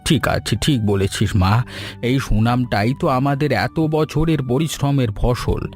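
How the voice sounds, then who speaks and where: A man playing a proud, caring old grandfather in an animated storybook cartoon, affectionate and full of advice. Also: medium pitch, moderate pace, lightly acted. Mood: affectionate, reassuring. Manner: affectionate, advising. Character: caring, proud old grandfather who runs a paratha shop. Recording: music bed under the voice.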